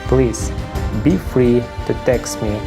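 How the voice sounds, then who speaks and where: A man speaks at an ordinary pitch in an earnest, plainly worded promo. English is not his first language, and clear music plays under his voice. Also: slow. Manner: simple, earnest phrasing. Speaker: channel host. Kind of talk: scripted channel promo. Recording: voice over clear background music.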